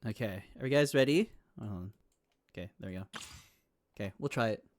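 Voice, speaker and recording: A man chatting casually on a gaming livestream in an informal, excitable way, often repeating his phrases. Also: on the low side, a little fast. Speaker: game streamer.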